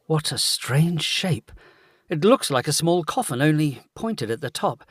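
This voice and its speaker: A man playing a curious, courteous young gentleman in a gothic audio drama. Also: fairly high pitch, lively intonation, measured pace, breathy edge, no echo, studio quality.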